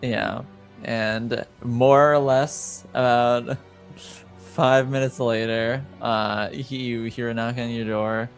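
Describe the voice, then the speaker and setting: A man working as game master, narrating a tabletop roleplaying game in a halting, piecemeal way. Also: mid-range voice, steady tone, creaky, faint music behind.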